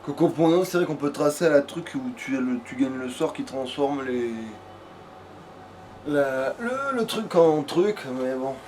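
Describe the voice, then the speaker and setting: A man's voice: fairly high pitch, animated, a little slow, a little mellow. A man giving casual let's play commentary, rambling in loose slang and swearing freely. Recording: faint background noise.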